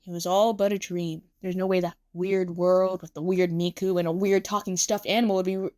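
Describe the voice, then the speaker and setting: A woman's voice: fairly low pitch, flat delivery, brisk, a little mellow, close to an everyday voice. A woman voicing a dramatic, vain young man who dreams of stardom and flusters easily, speaking theatrically in a story reading. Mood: dazed. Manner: theatrical, emphatic.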